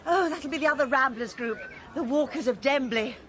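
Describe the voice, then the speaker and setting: A woman's voice: sits high, fairly quick, lo-fi sound, crowd noise, close to an everyday voice. An actress playing a kindly, genteel, long-suffering village lady in a radio comedy drama. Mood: helpful, chirpy. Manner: gentle, solicitous, genteel.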